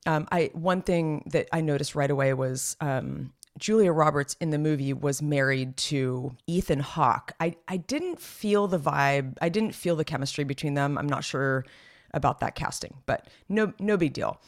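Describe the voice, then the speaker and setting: A woman in her thirties co-hosting a podcast, a little hoarse, chatting casually and rambling with lots of fillers and restarts. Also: deep voice, moderate pace. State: slightly raspy, hoarse voice. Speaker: podcast co-host. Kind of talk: casual chat.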